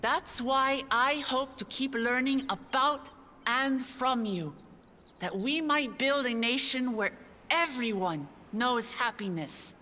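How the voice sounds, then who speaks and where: A woman's voice: high-pitched, takes it slowly, pushed and bright, full character voice. A woman playing an earnest, idealistic young female heir to a throne in a video game cutscene, speaking in heartfelt speeches. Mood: earnest, hopeful.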